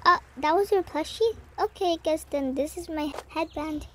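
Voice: high voice, moderate pace, sharp tone, slight background noise. A performer playing a cheerful older sister in an amateur family roleplay, girlish and casual in delivery.

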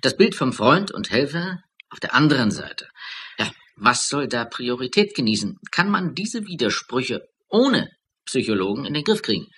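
An actor playing an earnest, long-winded psychologist who lectures politely in academic jargon in a radio drama. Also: male voice, mid-range voice, big pitch swings, normal speed, a bit bright, breathy edge. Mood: earnest, lecturing.

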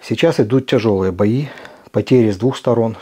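A middle-aged man from Ukraine who runs a video blog. He gives an impassioned address straight to camera, speaking earnestly and emphatically with deliberate pauses. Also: fairly low pitch, dark tone, slightly rough. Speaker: video blogger.

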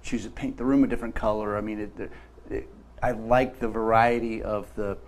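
Low-voiced host with rambling questions. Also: male voice, fairly even intonation, normal speed. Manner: friendly, meandering questions with restarts. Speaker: show host. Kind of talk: casual studio interview. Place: bright studio set at a table.

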